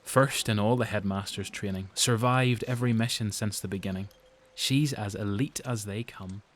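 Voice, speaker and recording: An actor narrating a post-apocalyptic audio drama as a grave, measured storyteller with a Northern Irish accent. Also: male voice, lowish voice, lightly acted. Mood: descriptive. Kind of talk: scripted narration.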